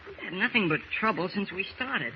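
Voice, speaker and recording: An actor playing an earnest, anxious young lad in an old-time radio drama, speaking hesitantly. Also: male voice, sits high, lively intonation, brisk. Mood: uneasy, evasive. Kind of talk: 1940s radio acting. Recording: dull, hissy old radio recording.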